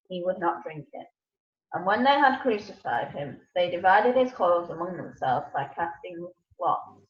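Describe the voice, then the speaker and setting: Casting: female narrator for scripture, slightly low voice, roomy and a little dull recording. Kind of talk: scripture reading. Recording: echoey room, slightly muffled.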